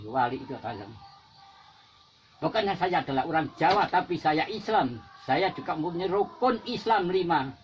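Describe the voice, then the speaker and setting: A man's voice: high voice, a little hiss or hum. An older Javanese man, a war veteran, giving an impassioned patriotic speech with repetitive exhortations that rise to emphatic peaks. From Java. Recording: dull lo-fi recording with faint music.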